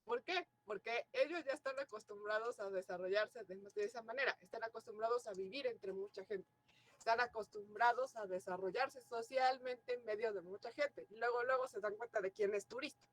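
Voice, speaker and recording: Woman, Mexican accent, teacher, classroom lecture, fairly high pitch, question-asking style. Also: expressive, moderate pace, rough recording. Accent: Mexican Spanish. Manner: explanatory, asks the class rhetorical questions.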